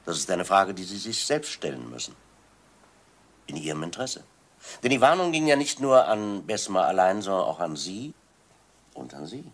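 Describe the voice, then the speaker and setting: An actor playing a calm police inspector in a crime radio play, questioning insistently and deliberately. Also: male voice, low-pitched, distant mic. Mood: grave, insistent. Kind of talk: dramatic radio play acting.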